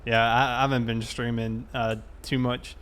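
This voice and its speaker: Chill guy streaming a game, chatting away, normal pitch, car sounds behind him. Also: flat delivery, moderate pace, fairly bright tone. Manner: easygoing, chatting to viewers. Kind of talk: casual live stream commentary while playing. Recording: clear background game vehicle noise. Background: game vehicle and ambience sounds.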